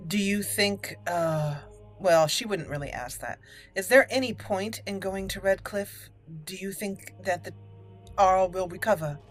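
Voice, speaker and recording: A woman's voice: mid-range voice, a little slow, soft background music. A woman giving casual commentary on a fantasy video game and reading dialogue choices aloud in character. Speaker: let's play host.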